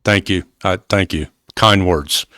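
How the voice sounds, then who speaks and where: A man hosting a podcast, speaking in an informal, halting, conversational way as he interviews a guest. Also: low voice, sharp tone, smooth, no room sound, studio quality. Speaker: podcast host.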